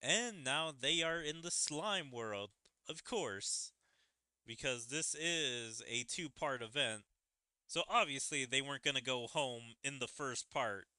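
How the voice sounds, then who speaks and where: A man's voice: medium pitch, slow, pushed and bright, clean tone, no echo. A man giving casual, musing commentary on a video game as a streamer. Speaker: gaming streamer. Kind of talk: let's play commentary, reading game dialogue aloud.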